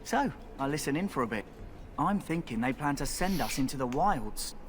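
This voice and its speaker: A man's voice: a little high, animated, moderate pace, slight background noise, natural voice. A man voicing a cheeky young rogue. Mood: sly.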